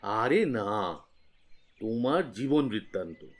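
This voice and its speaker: Male actor as insistent, coaxing magazine editor, comic audio play; unhurried, very animated delivery. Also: lowish voice. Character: pushy, flattering magazine editor, male friend of writer. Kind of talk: comic audio drama dialogue.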